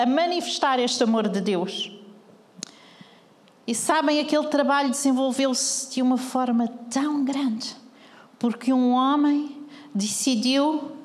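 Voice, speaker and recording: A woman's voice: sits high, long reverb tail. A woman pastor with a European Portuguese accent preaching a sermon, earnestly questioning the congregation.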